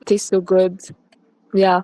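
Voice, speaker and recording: Young woman, interview guest, Egyptian-born student raised abroad, casual and thoughtful, mid-pitched, dry recording. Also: completely flat delivery, slow, dark tone, no room sound. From Egypt, raised in the UAE. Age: young adult. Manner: friendly, thoughtful, loose with fillers.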